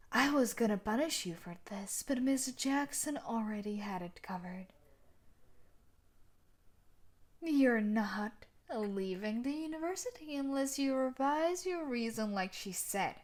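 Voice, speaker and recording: A woman playing a sadistic, possessive young student bully in an intimate audio roleplay, taunting and menacing, slipping into whispers. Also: on the high side.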